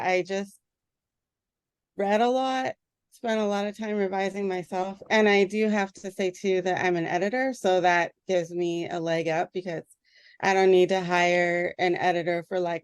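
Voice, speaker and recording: A woman guest, a writer and editor, chatting casually in a hesitant, halting way. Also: fairly low pitch, fairly even intonation, clear, resonant tone, no echo. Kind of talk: casual chat.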